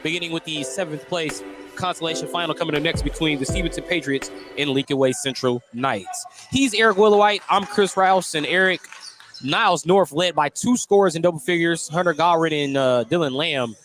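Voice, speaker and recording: A man's voice: a little high, a little fast, fairly bright tone, soft background music. An American man doing live radio play-by-play of a basketball game. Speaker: play-by-play announcer. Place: indoor school gym during a game. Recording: noisy gym broadcast. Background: gym noise, bouncing basketballs.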